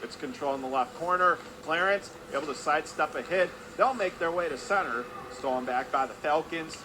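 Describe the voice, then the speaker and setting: High-voiced male hockey play-by-play announcer, noisy rink. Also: fairly quick. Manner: clipped running phrases, rising excitedly with the action. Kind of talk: live radio sports commentary. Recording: clear background noise of an ice rink.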